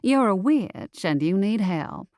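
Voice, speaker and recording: A woman's voice: mid-range voice, animated, slow pace, dark tone, no room sound. Lady doing a bossy cat for an audiobook, folksy n sassy, dry sound. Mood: blunt, coaxing.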